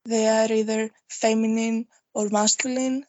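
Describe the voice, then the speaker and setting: A young adult woman from Greece with a Greek accent, a guest poet who talks thoughtfully in a casual interview, pausing on fillers. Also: on the high side, flat delivery, darkish tone, no room sound. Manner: thoughtful, unhurried, halting with fillers.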